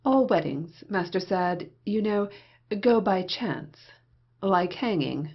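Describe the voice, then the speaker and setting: A dry, irritable schemer of period comedy acted by a woman speaks with cynical bite. The voice is husky and unhurried. The recording is somewhat muffled. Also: on the low side, animated, heavily acted. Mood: wry. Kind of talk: Restoration comedy audio play acting.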